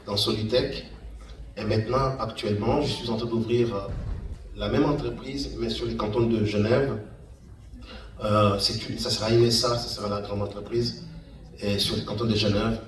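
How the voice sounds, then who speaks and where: Low-voiced man in an echoey room. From Democratic Republic of the Congo, Kananga. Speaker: guest speaker, engineer and entrepreneur. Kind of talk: informal public talk recounting a career path. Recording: echoey room, distant mic, faint noise.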